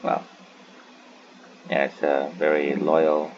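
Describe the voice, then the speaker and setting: A man's voice: rumbling low, completely flat delivery, takes it slowly, dull audio, slight background noise. A man giving casual, muttered playthrough commentary as he hosts a gaming video.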